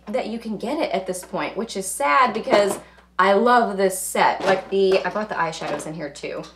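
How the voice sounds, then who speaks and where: Lady, kinda hoarse, rambling makeup review chat, lots of "like"s. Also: medium pitch, a little hiss or hum. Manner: rambling, heavy on filler words. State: hoarse, losing her voice. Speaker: beauty vlogger.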